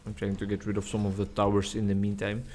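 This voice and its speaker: Low-ish voiced dutch guy rambling thru a game at his desk, chatty and a bit self-deprecating, faint hum. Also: monotone, fast talker, a little mellow. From Netherlands. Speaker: gaming YouTuber. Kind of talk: relaxed gameplay commentary.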